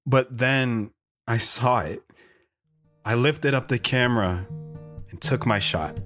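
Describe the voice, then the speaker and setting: Audio drama lead: a hesitant, earnest lone wanderer narrating lost romance, medium pitch, faint music. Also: male voice, slow pace, a bit bright. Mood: wistful, reflective. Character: lone wandering man wistfully recounting his romance. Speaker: storytelling guest on a radio show. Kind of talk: dramatic first-person narration. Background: plucked guitar music.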